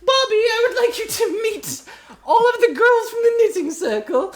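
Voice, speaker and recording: A man's voice: very high-pitched, big pitch swings, moderate pace, voice pushed up. A man voicing a genteel, fussy old grandmother detective, fluttery and easily flustered, in an improvised comic radio play. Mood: flustered, gracious.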